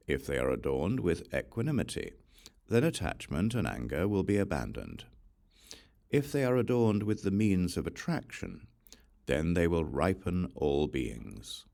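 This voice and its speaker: A man with a very low voice narrates scripture as an audiobook reader. His intonation rises and falls widely from phrase to phrase, with a gravelly creak underneath. The delivery stays solemn and measured. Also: a little mellow.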